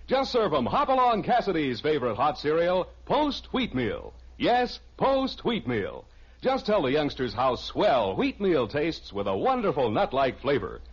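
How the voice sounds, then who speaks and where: A man's voice: on the high side, breathy edge. An American man delivering a folksy, persuasive 1950s radio advertisement as a commercial announcer. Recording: muffled old radio recording.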